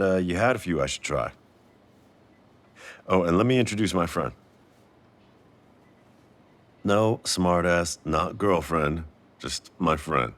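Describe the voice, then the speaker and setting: Man acting a very deep-voiced, teasing boyfriend type. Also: a bit bright. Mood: playful, defensive. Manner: casual, teasing, affectionate. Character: warm, teasing Italian-American restaurant owner with a secret crush. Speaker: boyfriend-roleplay voice actor. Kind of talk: intimate audio roleplay, conversational boyfriend ASMR.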